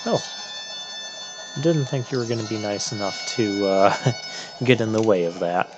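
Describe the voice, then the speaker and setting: A man giving casual, halting commentary as he plays through a video game. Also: fairly low pitch, expressive, low-quality recording. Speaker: gamer narrating a playthrough. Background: video game music.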